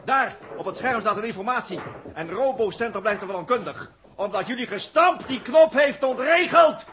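An actor playing a brisk, sardonic space crewman who bluffs his way along in a 1960s radio drama. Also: very high-pitched, expressive. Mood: indignant, bluffing. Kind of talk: 1960s Dutch science fiction radio drama. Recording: vintage radio recording, faint noise.

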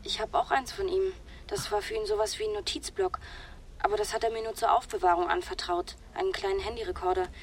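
A woman's voice: mid-range voice, steady tone, quick pace, a little hiss or hum, lightly acted. A young woman playing a guarded, earnest student in a radio play, speaking in a colloquial, somewhat defensive way. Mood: guarded, confiding. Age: young adult.

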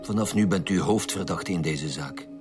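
A man's voice: sits low, normal speed, natural voice. A man with a Flemish accent acting a blunt, sarcastic, insistent chief detective in a radio drama. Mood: businesslike. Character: blunt, pressing male chief detective interrogating suspects. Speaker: lead police investigator. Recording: music behind the voice.

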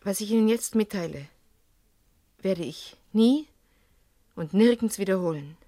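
A woman's voice: medium pitch, lively intonation, unhurried, dark tone, dry recording, natural voice. Woman playing a young student, haughty and defensive, old radio crime play, dry close sound. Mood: grave, confessing. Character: defiant, evasive young female student under police questioning. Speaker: radio drama actor. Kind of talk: vintage German radio crime drama acting.

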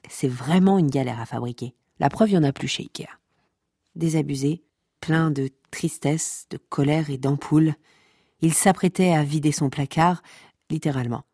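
An actress playing a droll narrator of a comic saga, wry and mock-solemn, speaking straight to the listener. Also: female voice, sits low, dark tone, breathy edge, no echo, clean studio sound. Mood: wry, pedantic. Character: droll, mock-epic female storyteller of a pasta-cult saga.

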